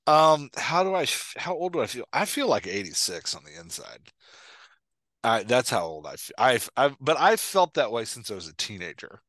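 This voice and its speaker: Man, forties, podcast host, highly animated pitch, dry close headset sound, casual self-deprecating banter. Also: normal speed, no echo. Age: 40s. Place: indoor room, on a video call.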